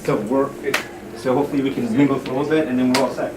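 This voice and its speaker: A man's voice: mid-range voice, steady tone, rapid-fire. A man giving an informal political speech, conversational and repeating phrases for emphasis. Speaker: community speaker and event host. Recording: distant mic in noisy echoey room.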